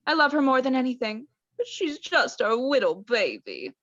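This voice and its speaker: A woman's voice: sits high, sing-song, normal speed, a bit bright, no room sound, exaggerated voice. A young woman playing an oblivious, doting mother with an arch, theatrical manner in a radio play. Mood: doting, fretful. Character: doting, oblivious mother, affectedly genteel wife. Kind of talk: student radio play acting. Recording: dull, muffled lo-fi home recording.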